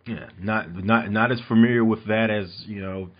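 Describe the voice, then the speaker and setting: A lower-pitched man with a husky, breathy voice hosts a podcast interview, eager and full of small restarts. He is from North Carolina. The recording is dull and lo-fi. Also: fairly even intonation, moderate pace. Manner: enthusiastic, many fillers and restarts. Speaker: podcast host.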